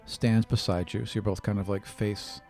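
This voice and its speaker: A man's voice: fairly low pitch, steady tone, fairly quick, darkish tone. A man narrating a tabletop roleplaying game as game master, describing scenes conversationally with casual asides. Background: music bed.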